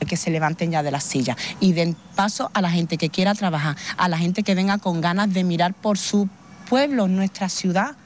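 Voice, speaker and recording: A woman's voice: fairly low pitch, normal speed, darkish tone. Woman resident, street vox pop, insistent and heated; faint outdoor noise behind. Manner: impassioned, insistent.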